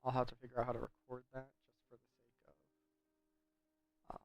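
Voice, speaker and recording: A man's voice: mid-range voice, monotone, fairly quick, dry recording, dull audio. A man giving casual, rambling gameplay commentary as a video host, talking in a loose, hesitant way. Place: quiet room at home.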